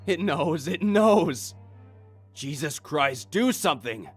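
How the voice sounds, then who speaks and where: A man's voice: on the high side, drawn-out words, soft background music, voice pushed up. A man voicing a panicked male prisoner trapped on the floor below, shrieking in terror. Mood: terrified.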